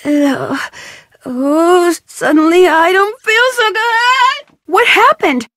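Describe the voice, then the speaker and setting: A woman's voice: very high voice, slow pace, fairly bright tone, no echo, studio quality, full character voice. A woman voicing a curious young female detective in a mystery game, musing aloud in an inquisitive way. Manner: chirpy, inquisitive self-talk. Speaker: game protagonist. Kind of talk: game voice lines.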